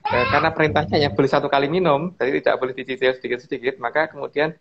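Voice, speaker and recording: A man of middle pitch with a gravelly, fry-tinged voice talks through old lore in loose, rambling fashion, stopping and restarting his sentences. The sound is dull and lo-fi, as if over an online call, with a faint hiss underneath. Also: steady tone, very fast. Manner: rambling, many fillers and restarts, addresses host politely. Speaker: guest speaker on Javanese lore. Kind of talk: casual explanatory talk.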